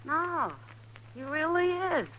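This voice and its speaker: A woman's voice: very high voice, slow, fairly bright tone, exaggerated voice, low-quality recording. An actress playing a familiar, teasing, slightly tipsy saloon woman of the frontier in an old radio western. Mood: tipsy, enthusiastic. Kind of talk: 1950s radio western acting. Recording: old radio broadcast, hum and hiss.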